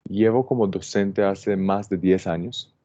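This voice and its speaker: Deep-voiced Israeli man teaching online. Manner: calm, halting, many fillers and restarts. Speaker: landscape designer teaching a plant workshop. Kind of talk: online workshop introduction. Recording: dull, dry computer-mic sound.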